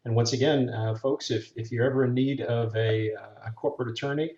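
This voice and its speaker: A man's voice: medium pitch, steady tone, brisk, darkish tone, reverberant, highs cut off. A man co-hosting a business podcast, asking careful, thoughtful questions in casual chat. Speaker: podcast co-host.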